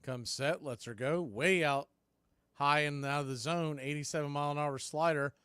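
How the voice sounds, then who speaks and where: A man's voice: a little high, normal speed, fairly bright tone, clear, resonant tone, no room sound. An American man calling live baseball play-by-play in a folksy, partisan home-team style. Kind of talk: live radio baseball commentary.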